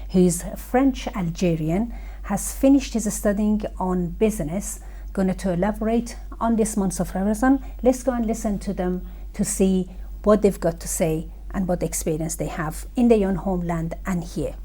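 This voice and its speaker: A middle-aged woman hosting a talk show and interviewing young guests, speaking in slow, unpolished English with frequent restarts. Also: mid-range voice, mellow. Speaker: host.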